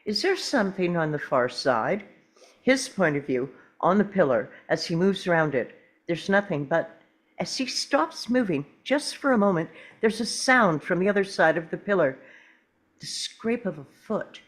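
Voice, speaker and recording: A woman's voice: on the low side, big pitch swings, moderate pace. A woman reading stage directions as dramatic narration at a script table read.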